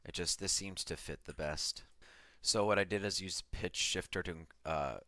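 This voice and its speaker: A man's voice: sits low, moderate pace, bright, forceful tone, no room sound, studio quality. A man giving an offhand, self-deprecating commentary as he breaks down an electronic track he produced. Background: music from the track being played.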